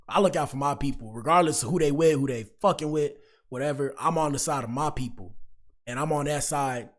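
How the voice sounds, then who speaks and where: An American man hosts a livestream in a mid-pitched voice. He talks informally and full of slang, addressing his viewers directly as he comments. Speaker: livestream host reacting to clips.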